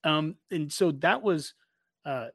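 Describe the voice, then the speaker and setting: A man's voice: a little high, slow, husky, dry recording, studio quality. A man talking casually and effusively as a sports podcast host, full of enthusiasm for his subject.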